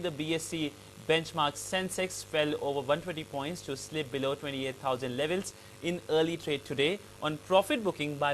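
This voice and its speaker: A male news anchor with an Indian accent reads bulletin items in a fairly high-pitched voice. His delivery halts now and then, over a faint hum. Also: fairly bright tone, rough recording. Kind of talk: live news bulletin reading.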